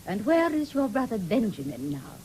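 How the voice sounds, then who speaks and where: A genteel middle-aged matron, pious and effusive. Her pitch swoops up and down with patriotic fervour, over the faint noise of a 1970s radio broadcast. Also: very animated, normal speed, a little mellow. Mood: gushing, earnest. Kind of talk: radio drama acting.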